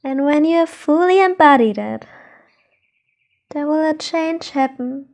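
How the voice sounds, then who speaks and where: High-voiced slow woman guiding meditation. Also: expressive. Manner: soothing, unhurried, gentle instructions with pauses. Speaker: meditation teacher, channel host. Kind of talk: guided meditation.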